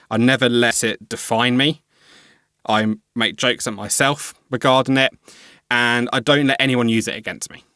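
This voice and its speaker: A man's voice: mid-range voice, bright, forceful tone, no echo, clean studio sound. A young man, a podcast guest talking candidly and reflectively, with a few stammered repeats. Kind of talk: candid conversational interview.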